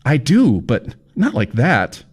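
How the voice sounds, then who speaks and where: A man's voice: medium pitch, huge pitch swings, slow pace, a little mellow, slightly rough, pitched above the performer's own voice, exaggerated voice. A man voicing a bewildered young male aspiring writer who questions everything in an exasperated way.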